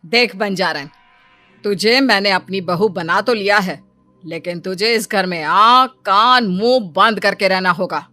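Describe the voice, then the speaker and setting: Cruel cartoon mother-in-law, played by a woman: bright forceful voice, scolding and threatening, music underneath. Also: medium pitch, measured pace, no echo. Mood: stern, threatening. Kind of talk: dramatized cartoon story dialogue.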